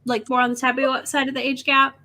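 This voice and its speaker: Bright, high-voiced woman, playfully teasing. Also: completely flat delivery, brisk. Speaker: host of an online book readalong livestream. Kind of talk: casual group chat about books.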